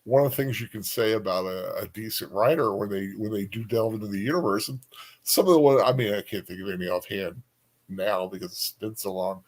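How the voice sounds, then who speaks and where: 편하게 말하는 남성 공동 진행자. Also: male voice, on the low side, a little fast. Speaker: co-host. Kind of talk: casual chat about books.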